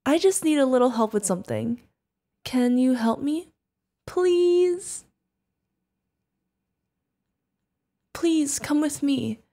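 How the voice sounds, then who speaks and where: A woman's voice: high-pitched, expressive, a little slow, dark tone. A woman voicing an insane, sadistic female yandere killer in an ASMR roleplay, creepy and giggling, with teasing, singsong threats. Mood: coaxing, eerie. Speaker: voice actor.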